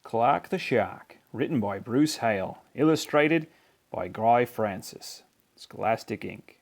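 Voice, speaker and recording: A man reading a children's picture book aloud in expressive narration, with emphatic, deliberate phrasing. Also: medium pitch. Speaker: storybook reader.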